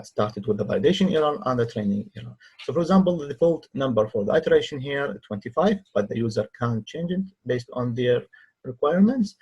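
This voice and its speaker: Husky non-native English-speaking man presenting a tutorial. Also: medium pitch, a little mellow, lo-fi sound. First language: not English. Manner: halting, non-native phrasing with hesitant pauses. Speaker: researcher demonstrating own software. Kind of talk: tutorial screen-recording narration.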